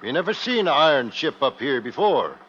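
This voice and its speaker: A gruff arctic ice guide, broken english, muffled old radio drama. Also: male voice, medium pitch, very animated, brisk, fairly bright tone. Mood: wry, plain. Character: gruff, cautious male northern outpost seaman and ice guide. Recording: muffled, hissy vintage radio recording.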